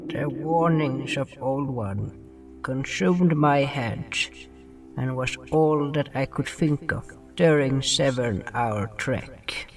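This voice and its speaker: A man playing a cautious young bird who narrates a fantasy story in the first person, solemn and deliberate, dropping small words in broken grammar. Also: medium pitch, recorded from a distance, soft background music, poor audio. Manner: solemn, deliberate, drops articles.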